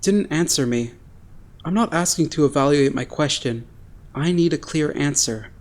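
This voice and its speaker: A woman's voice: low-pitched, normal speed, darkish tone, close to an everyday voice. A woman acting an earnest, naive and blunt teenage boy idol in an anime-style dub. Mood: blunt, questioning. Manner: straightforward, earnest.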